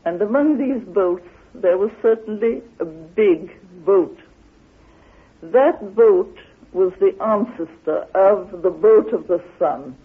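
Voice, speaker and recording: A woman recounting an expedition in an emphatic, halting way, as a spoken anecdote. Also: medium pitch, breathy edge. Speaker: explorer and theorist recounting her expedition. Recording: tinny band-limited old radio recording.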